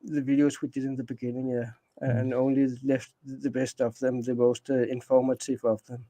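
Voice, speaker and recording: A man's voice: mid-range voice, flat delivery, fairly quick, warm tone, dry recording. A middle-aged Danish man speaking English with a Danish accent, rambling casually as an interview guest. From Denmark. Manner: rambling, many restarts and fillers. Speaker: guest, space anomaly researcher.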